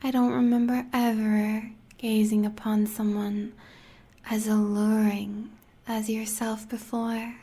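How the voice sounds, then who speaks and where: A woman's voice: on the high side, little pitch movement, slow pace, mellow. A woman with an American accent playing a sadistic, seductive huntress in an audio roleplay, talking in a teasing half-whisper. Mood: menacing.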